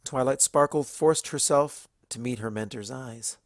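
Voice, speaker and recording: A man narrating dramatic fiction from a script in a measured, solemn way. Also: mid-range voice, dry recording, clean studio sound.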